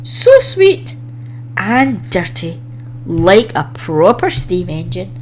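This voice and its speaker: A woman's voice: fairly low pitch, sing-song, slow, a little mellow, a little hiss or hum, pitched below the performer's own voice, rough recording. A woman playing a haughty, gushing, dramatic female singer in a storybook.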